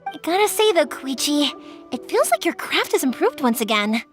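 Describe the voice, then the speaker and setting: A woman's voice: high-pitched, normal speed, faint music behind. A woman voicing a cheerful, chatty young fireworks maker in a video game. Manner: lively, chatty and warm-hearted storyteller.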